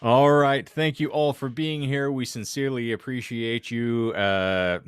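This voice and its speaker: A man's voice: mid-range voice, fairly even intonation, a little slow, smooth, no echo, studio quality. A man chatting casually as a podcast co-host, with a wordy, florid way of phrasing things. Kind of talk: casual chat.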